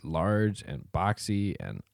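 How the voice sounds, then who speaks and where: A man's voice: deep voice, big pitch swings, slow, vocal fry, no room sound, clean studio sound. A man co-hosting a podcast, chatting casually in a teasing, rambling way. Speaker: podcast co-host. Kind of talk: casual chat.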